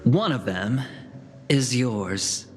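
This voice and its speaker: A man's voice: mid-range voice, lively intonation, extremely slow, slight background noise. A man voicing a smug, self-assured gambler in a video game, with a theatrical, taunting delivery. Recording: long reverb, music underneath.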